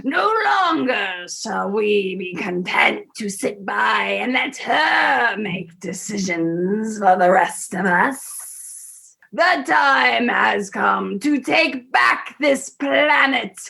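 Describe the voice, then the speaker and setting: A gravelly, fiery alien demagogue. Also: female voice, mid-range voice, animated, pushed and bright, exaggerated voice. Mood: rousing fervor. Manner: impassioned, declamatory, slow and emphatic. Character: fiery demagogue alien politician rallying her species to uprising. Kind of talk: sci-fi radio drama political speech.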